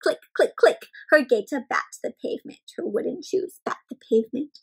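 A young woman with a high voice reads a picture book aloud for small children. She is animated and expressive, often turning to the listeners with questions as a story time host. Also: moderate pace, vocal fry. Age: young adult.

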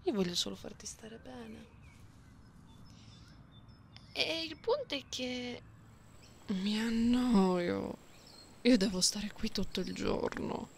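Lady acting as a soft teasing catgirl, asmr roleplay, slow n breathy w some creak, often whispery, bit of background noise. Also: fairly high pitch. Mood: teasing, coaxing, then bored, wistful. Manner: soothing, teasing, lingering. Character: affectionate, bored, playful female catgirl.

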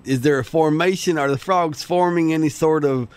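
A middle-aged American man with a fairly high voice hosts comic radio. He banters and questions guests with mock seriousness, always ready to joke. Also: normal speed, smooth. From United States. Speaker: radio show host.

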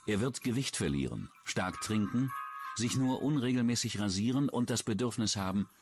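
Low-voiced male true-crime narrator over music. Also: animated, moderate pace. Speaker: documentary narrator.

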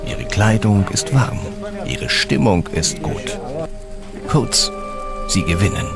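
Male documentary narrator, lower register, measured dramatic storytelling, clear music bed. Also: animated. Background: background music.

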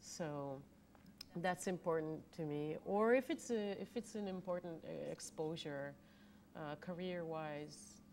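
A woman with a low voice who speaks English as a second language after Hebrew. She talks with animation and laughs easily. She is on a lapel mic in a TV studio, with a faint hiss underneath. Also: slow pace, a little mellow. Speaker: opera singer guest. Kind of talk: TV studio interview.

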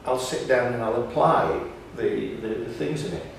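An older man chatting casually in an interview, halting and repeating words as he tells anecdotes. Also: mid-range voice, gravelly. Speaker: pantomime writer and actor. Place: small indoor room, seated on sofa. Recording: echoey room, distant mic, faint noise.

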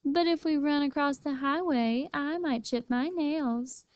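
A woman's voice: sits high, expressive, measured pace, a little mellow, no room sound, lo-fi sound, pitched above the performer's own voice. A woman voicing a vain, fussy female armadillo in a storybook, with prim, emphatic sass. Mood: fussy complaint.

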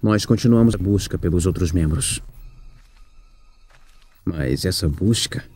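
A man's voice: low voice, a little slow, darkish tone, breathy edge, no room sound. A man with a Brazilian accent playing a grave young special-police officer in a fan-dubbed horror game, narrating dramatically. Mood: grave, somber. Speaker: fan dub voice actor. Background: music.

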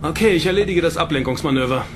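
A man's voice: on the high side, expressive, fast, over music, lightly acted. A man playing a bold, sceptical, defiant prisoner in a sci-fi radio drama.

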